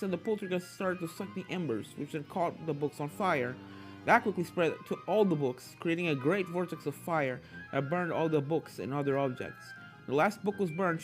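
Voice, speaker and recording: A woman's voice: on the low side, poor audio. A woman reading a fan fiction story as a scripted narrator. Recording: game music under the voice.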